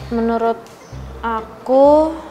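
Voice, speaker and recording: A woman's voice: fairly high pitch, very slow, mellow, lo-fi sound. A woman answering an interview question hesitantly with fillers. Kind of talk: street vox pop answer. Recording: faint music behind voice.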